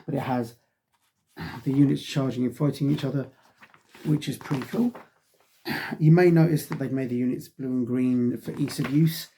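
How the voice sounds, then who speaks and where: A man's voice: medium pitch, steady tone, normal speed, pauses often, dark tone, slight background noise. A middle-aged man reviewing a wargaming magazine in a casual, rambling way, sighing often and filling gaps with ums while he gets over a cold that has him losing his voice. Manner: rambling, frequent sighs and ums.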